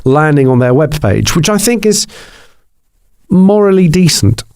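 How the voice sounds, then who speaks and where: A man's voice: fairly high pitch, sing-song, a little slow, a little mellow. A man who is a guest on a podcast and works as a TV presenter and journalist, talking in a rambling way with many restarts and fillers. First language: Greek. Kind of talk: casual interview chat.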